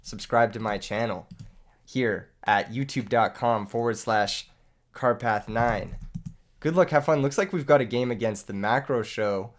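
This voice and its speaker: A young man narrates his card game match while recovering from an illness that has taken his voice. He talks casually, making fun of himself and laughing between plays. His pitch sits in a middling range. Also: unhurried. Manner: joking, self-mocking, laughs often. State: lost voice from illness. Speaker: gaming YouTuber. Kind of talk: live gameplay commentary.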